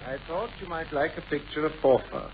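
A man's voice: a little high, animated, fairly quick, very noisy. An actor playing a wry, self-doubting male novelist in a 1960s radio play, urbane and wordy. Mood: reading aloud, measured. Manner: urbane, wordy, wryly complaining. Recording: muffled, raspy old radio recording.

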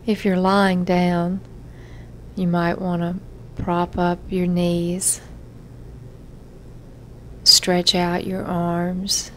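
A woman therapist leading a guided self-hypnosis relaxation session, giving gentle, measured instructions with frequent pauses. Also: on the low side, slight background noise.

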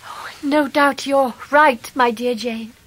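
An actress playing a gentle, anxious, submissive young mother in a radio drama, with a timid, pleading manner. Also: sits high, fairly even intonation, slow pace, full character voice. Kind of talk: 1990s radio drama acting.

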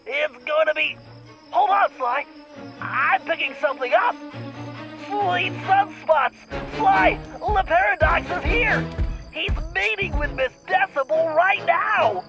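A man voicing a brainy, fretful young sidekick who talks fast and excitably, in a video game cutscene. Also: very high-pitched, muffled recording, over music, exaggerated voice. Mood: alert. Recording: game score underneath.

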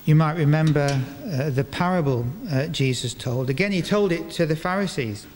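An older man giving a sermon in a large church, speaking into a stand microphone in measured phrases with deliberate pauses. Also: a little high. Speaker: preacher. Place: large stone church, indoors, before a congregation. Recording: reverberant church hall, clear background noise.

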